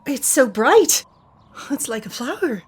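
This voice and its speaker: Woman playing a high, wide-eyed young clanswoman. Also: very animated, unhurried, a little hiss or hum. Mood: awestruck. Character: naive, wide-eyed young northern woman full of wonder. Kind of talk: improvised tabletop roleplay.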